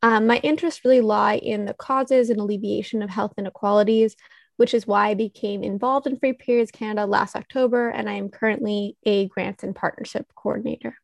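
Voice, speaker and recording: A woman's voice: medium pitch, steady tone, a little fast, creaky, no room sound. A woman in her twenties introducing herself politely and briefly on a video call. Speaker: nonprofit coordinator.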